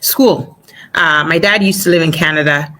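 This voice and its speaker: A woman in her forties, born in Ghana, chatting as an interview guest in a relaxed way, with easy laughter and plenty of fillers. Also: low voice, normal speed. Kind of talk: casual interview talk.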